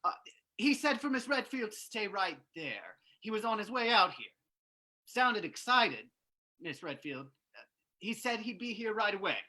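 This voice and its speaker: A woman's voice: medium pitch, big pitch swings, a little slow, bright, forceful tone, exaggerated voice. A woman playing a fussy, sympathetic male hotel manager with a stammering, hesitant manner in an old-time radio play reading. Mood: flustered, sympathetic.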